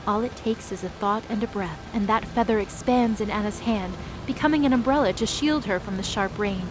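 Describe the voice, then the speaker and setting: A woman's voice: mid-range voice, brisk, noisy background. A woman narrating an audio drama in a scripted style with wry, casual asides. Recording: music underneath.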